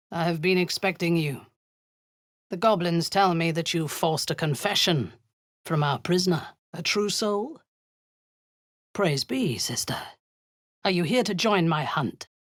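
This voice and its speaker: An actress voicing a ruthless drow woman commander who leads zealous raids, speaking in a cold, commanding voice with a husky edge that often sinks into a whisper. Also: lowish voice, lively intonation, measured pace, no room sound, studio quality. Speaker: game character. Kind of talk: video game voice lines.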